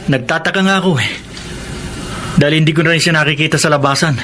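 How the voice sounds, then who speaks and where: A man's voice: fairly high pitch, fast talker, bright, forceful tone, a little hiss or hum. A man playing a sly, wheedling petty crook in a radio drama. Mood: glum. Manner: wheedling, coaxing. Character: sly adult male petty crook recruiting a child. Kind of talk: Tagalog radio drama acting.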